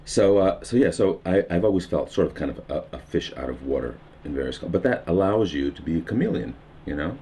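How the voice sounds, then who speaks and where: Very deep-voiced New York man, gravelly. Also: very animated, brisk, few pauses, warm tone. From United States. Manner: meandering, musing with fillers and restarts. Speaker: documentary subject. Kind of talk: reflective interview monologue. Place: houseboat on a river.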